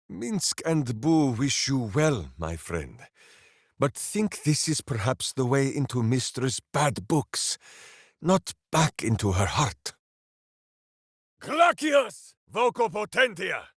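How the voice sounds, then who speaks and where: An actor playing a big, hearty, simple-hearted heroic warrior in a fantasy video game, earnest and grandiose in a theatrical way. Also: male voice, medium pitch, no echo, studio quality, full character voice. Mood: shouted incantation. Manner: boisterous, earnest, grandiose, speaks of himself in third person.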